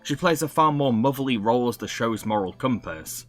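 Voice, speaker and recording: A man's voice: mid-range voice, animated, a little fast. British male reviewer; mid-range voice, dry opinionated scripted delivery over faint music. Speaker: video reviewer and host.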